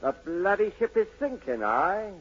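A man's voice: high voice, big pitch swings, measured pace, over music. A man acting a sly, cowardly Cockney hanger-on in a 1940s radio drama, taunting and slangy. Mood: needling. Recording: muffled, lo-fi 1940s radio recording.

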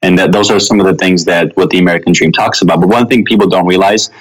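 A man's voice: low voice, steady tone, fast talker, slightly rough, poor audio. A man from Armenia, a guest in an interview, telling his life story earnestly with frequent ums and self-corrections. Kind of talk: reflective personal storytelling.

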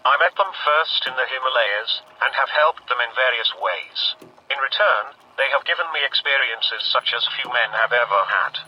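A man's voice: mid-range voice, normal speed, a little hiss or hum. A man playing a serene disembodied brain that speaks through an electronic machine in a radio drama, courteous and persuasive. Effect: electronic voice machine filter. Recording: dull radio-like electronic sound.

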